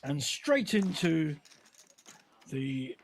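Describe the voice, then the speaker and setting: A man's voice: on the high side, big pitch swings, extremely slow, slight background noise. A man giving chatty, conversational live commentary on a poker livestream.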